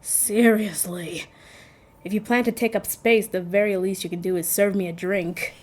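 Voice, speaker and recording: A woman's voice: medium pitch, fairly even intonation, normal speed, natural voice. A woman playing a snobbish, entitled and spiteful young woman in an audio drama. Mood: scornful. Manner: haughty, snappish.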